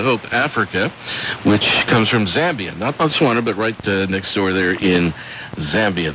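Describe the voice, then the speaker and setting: An American man hosting a shortwave radio programme, reading listener letters and announcements with clear enunciation. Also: on the low side, noisy background. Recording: shortwave radio broadcast with music.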